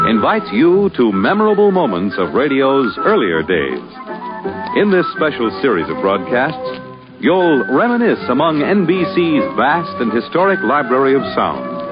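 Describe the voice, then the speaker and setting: Highly animated American male radio announcer. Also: moderate pace. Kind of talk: 1950s radio announcing, scripted. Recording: muffled vintage radio recording. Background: music.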